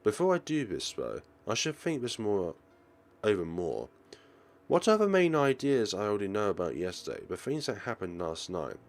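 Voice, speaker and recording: Low-voiced man with swooping, theatrical delivery. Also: big pitch swings, moderate pace. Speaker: let's player reading a visual novel aloud. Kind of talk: game commentary with dramatic read-aloud narration.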